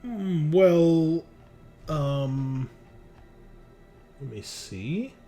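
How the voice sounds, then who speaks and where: A man's voice: fairly high pitch, animated, extremely slow, mellow, soft background music. A man acting as game master, casually improvising narration and talking with his players in a jokey way.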